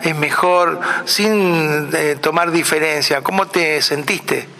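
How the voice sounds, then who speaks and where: Argentine male host, chatty questioner, bright forceful tone. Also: fairly high pitch, slow, gravelly, slight background noise. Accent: Argentine Spanish. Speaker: interviewer host. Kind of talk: radio-style interview.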